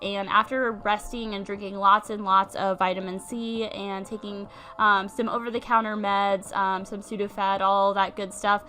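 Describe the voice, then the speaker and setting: A woman's voice: mid-range voice, flat delivery, moderate pace, few pauses, bright, forceful tone. A young woman with a congested voice, chatting casually with lots of ums as she narrates a life-sim game. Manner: chatty, many ums and likes. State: congested from a cold. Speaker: let's-play host.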